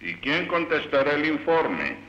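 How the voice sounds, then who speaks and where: Male actor as cartoon flatterer, obsequious, lively pitch, forceful tone, dull old film audio. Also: measured pace. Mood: inquisitive.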